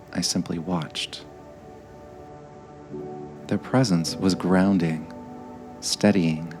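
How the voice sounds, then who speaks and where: A man narrating a scripted guided meditation in a gentle, measured way, with frequent pauses. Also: low voice.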